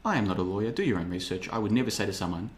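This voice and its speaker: A man, rambling through a casual podcast monologue with a sarcastic edge. His voice sits a little low, and he talks loosely, circling back over his points. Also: animated, racing. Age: 30s. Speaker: podcast host. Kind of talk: casual podcast monologue reading live chat.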